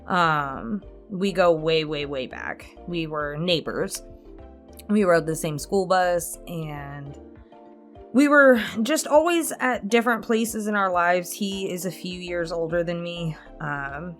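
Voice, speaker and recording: Slow-talking woman in her twenties. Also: fairly low pitch. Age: 20s. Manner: candid, rambling storytelling with small chuckles. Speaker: ASMR channel host answering subscriber questions. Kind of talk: casual, chatty Q&A. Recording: music playing behind the voice. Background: instrumental music.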